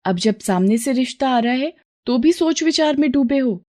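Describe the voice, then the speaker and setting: Woman acting a mellow, caring young village daughter. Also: high voice, animated, moderate pace, voice pushed up. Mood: reassuring. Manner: reassuring, affectionate.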